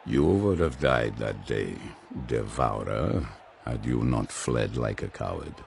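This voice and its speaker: A man playing a cold, battle-hungry superhuman warrior champion in an audio drama, speaking slowly and threateningly in a deep gravelly voice. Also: a little mellow, slight background noise, heavily acted. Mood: cold threat.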